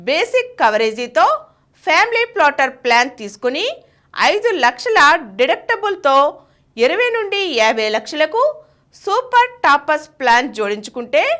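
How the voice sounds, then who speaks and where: High-pitched, lively woman narrator. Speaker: presenter reading health advice. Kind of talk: scripted informational narration.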